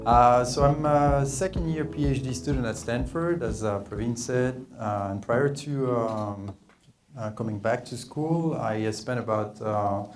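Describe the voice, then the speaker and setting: A man's voice: medium pitch, measured pace, soft background music. A man in his thirties with a French accent, giving a workshop presentation with many hesitant "uh"s. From France. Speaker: presenter, PhD student. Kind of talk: conference presentation.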